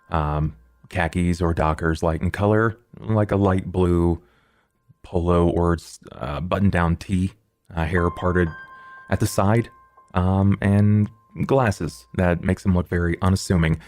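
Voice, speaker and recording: Very deep-voiced man, measured. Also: darkish tone, a little hiss or hum. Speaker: player in tabletop game. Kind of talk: measured character introduction. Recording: music under the voice. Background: background music.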